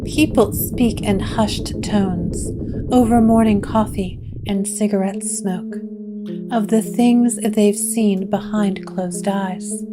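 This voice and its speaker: A woman narrating a gothic audio drama in slow, hushed, dramatic storytelling. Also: mid-range voice. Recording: guitar music under the voice.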